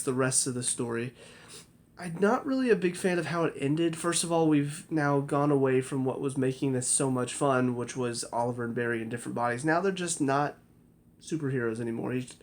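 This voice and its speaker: A man's voice: medium pitch, gravelly. Rambling critical male TV reviewer. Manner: critical, rambling, restarts sentences. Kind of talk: casual spoken review.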